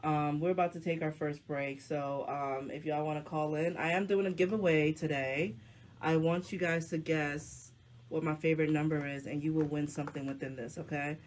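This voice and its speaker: A woman hosting a casual live talk show, hoarse from the flu, with a deep voice and a chatty, slangy way of addressing her viewers. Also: fairly even intonation, normal speed, a little mellow, slight background noise. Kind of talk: casual live-stream chat.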